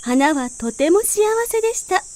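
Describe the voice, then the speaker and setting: A woman storyteller reads a children's fairy tale. Her voice sits very high, and she delivers the narration as a scripted picture story for small listeners. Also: sing-song, moderate pace, mellow.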